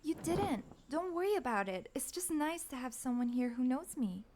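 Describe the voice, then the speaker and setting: A woman's voice: sits high, lively intonation, normal speed, darkish tone, slight background noise. A woman playing a chatty, curious, supportive young student friend in a comic dub. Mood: friendly. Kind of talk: dramatic comic dub acting.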